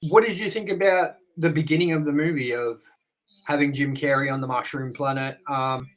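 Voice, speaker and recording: Higher-voiced man co-hosting a review chat. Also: normal speed, low-quality recording. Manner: reads figures, then prompts partner with questions. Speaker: co-host.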